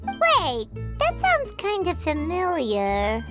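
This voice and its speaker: Tiny, very high-pitched bubbly fairy girl. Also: wildly swooping pitch, slow, over music, heavily acted. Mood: concerned, puzzled. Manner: bubbly, exclamatory. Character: tiny cheerful childlike fairy companion girl. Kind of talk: animated game voice acting.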